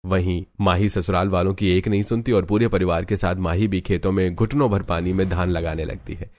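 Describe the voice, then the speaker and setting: Low-voiced man narrating a cartoon story. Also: steady tone, brisk, darkish tone, dry recording.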